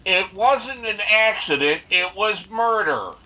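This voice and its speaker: Woman playing a jittery, sharp-voiced radio sidekick. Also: medium pitch, expressive, slow, rough recording. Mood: wary. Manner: hesitant, slangy, stammering. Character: jittery, slangy sidekick of a detective. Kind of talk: 1930s radio mystery acting.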